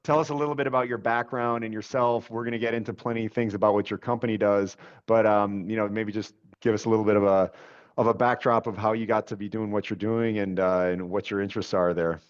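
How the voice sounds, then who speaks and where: A man in his forties hosting a webcast interview, chatty and friendly, with fillers and quick interjections. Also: lowish voice, fairly even intonation. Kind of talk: casual conversational interview. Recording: dull lo-fi audio.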